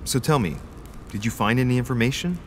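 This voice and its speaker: An actor playing a brisk, sharp-tongued young nobleman in a video game. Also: mid-range voice, expressive, a little hiss or hum, natural voice. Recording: game audio with music behind.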